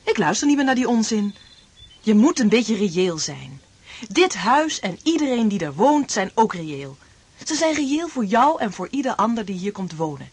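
An actress playing an affectionate, playful young lodger in a radio drama, coaxing and direct. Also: female voice, medium pitch, sing-song, moderate pace, a little mellow, breathy edge, dry recording. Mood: reproachful. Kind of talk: Dutch radio drama acting.

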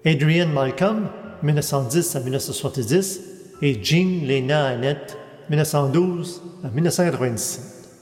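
A man with a somewhat high voice reads a biographical narration at a steady, measured pace. His voice rings through a long, echoing hall, and faint music plays underneath. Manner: steady, measured reading of dates and names.

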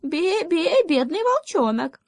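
Lady doing a tiny bleating goat kid, really high, pleading, stretched-out cries, storybook. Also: very animated, no echo, studio quality, heavily acted. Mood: mock pity. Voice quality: bleating, thin. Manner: crying out, drawn-out pleading. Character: timid, brave-hearted little male goat kid.

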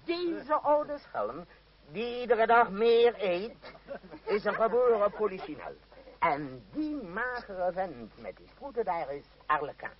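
Pompous, slow-talking theatre troupe leader. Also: male voice, high voice, very animated, crowd noise, full character voice. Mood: showy, teasing. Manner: theatrical, grandiloquent, savouring words. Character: florid, pompous father leading a travelling theatre troupe. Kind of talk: radio play acting. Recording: muffled old radio play recording.

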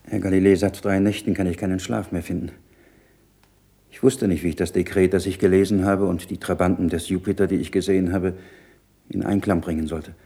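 An actor playing an earnest, troubled learned monk in a radio play, speaking in a measured, solemn, rhetorical way. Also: male voice, deep voice, little pitch movement, creaky, dark tone, slightly rough, exaggerated voice. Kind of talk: German radio play acting.

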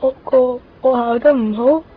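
A woman's voice: high-pitched, fairly even intonation, measured pace, husky. A woman acting a blunt, wistful, matter-of-fact teenage schoolgirl in a Cantonese radio drama, sighing, with a Hong Kong accent. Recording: dull lo-fi radio recording.

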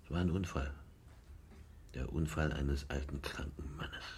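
An actor playing a cynical, self-loathing alcoholic brother in a radio drama, with a sardonic, theatrical, mocking way of talking. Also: male voice, a bass voice, unhurried, darkish tone, a little hiss or hum. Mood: mocking, tipsy. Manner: sardonic, theatrical, drawling mockery.

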